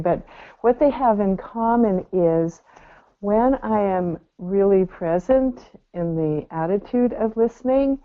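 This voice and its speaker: A woman caller sharing reflections conversationally, in a thoughtful and somewhat hesitant way. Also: on the low side. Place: remote call to a live show. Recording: dull, lo-fi phone call.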